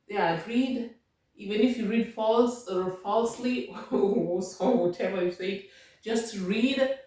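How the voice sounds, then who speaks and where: Kenyan woman, casual home vlogger, patient and repetitive; boomy echoing room, off-mic. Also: darkish tone, far from the mic. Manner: repetitive, restarts and rephrases, patient explaining. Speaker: vlogger giving language-learning tips. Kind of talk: casual advice talk.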